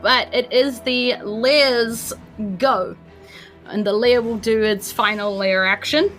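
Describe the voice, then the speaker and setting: A woman with a New Zealand accent narrating a tabletop game as game master, in an informal, halting, descriptive way. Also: a little high, a bit bright, faint music behind, rough recording.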